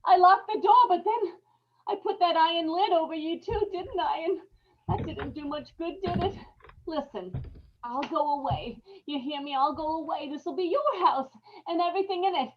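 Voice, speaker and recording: A woman's voice: very high-pitched, normal speed, highs cut off. A woman acting a desperate, guilt-ridden killer in an old-time radio horror play, pleading in broken phrases and cries. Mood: frightened pleading.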